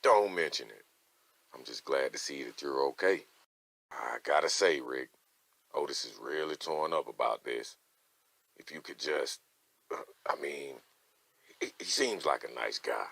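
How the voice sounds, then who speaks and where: Actor as a very deep, gravelly gentle man. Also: lively intonation, unhurried, long pauses, no room sound, heavily acted. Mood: kindly. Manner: gentle, hesitant. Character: kindly, easygoing big man among survivors. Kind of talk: motion comic voice acting.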